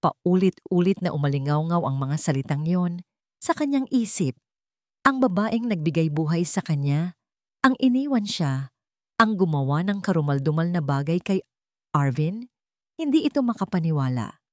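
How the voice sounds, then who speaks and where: A woman narrates a dramatic story from a script in a Filipino accent. Her voice sits on the low side for a woman. The recording is close and dry, with little sense of a room around her. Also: very animated, normal speed, darkish tone, no echo.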